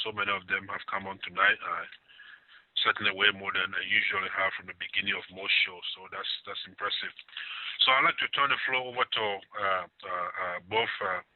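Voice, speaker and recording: Male radio host, halting delivery, lower pitch, thin phone-line sound. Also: creaky, no echo. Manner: halting, repetitive. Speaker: forum host and moderator. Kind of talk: live call-in radio hosting. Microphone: phone conference line.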